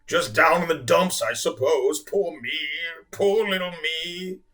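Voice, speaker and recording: Man voicing a storybook blue whale, deep, slow, mournful drone, self-pitying, swooping pitch. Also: expressive, a crowd in the background.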